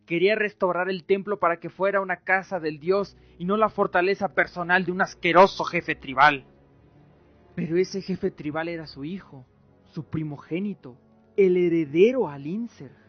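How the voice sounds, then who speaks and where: Higher-pitched male drama narrator over music. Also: normal speed. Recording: dull lo-fi recording with music.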